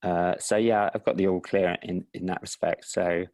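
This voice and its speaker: A man with an Estuary English accent, talking as an indie filmmaker guest in a relaxed, self-deprecating interview chat. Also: low-pitched, normal speed, no room sound. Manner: relaxed, self-deprecating, with frequent restarts and laughs.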